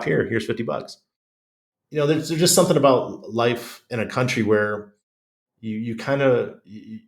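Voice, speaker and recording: Middle-aged American male, low voice, congested chest, blunt salty talker in a relaxed podcast chat. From United States. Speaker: podcast guest. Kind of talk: casual conversational interview.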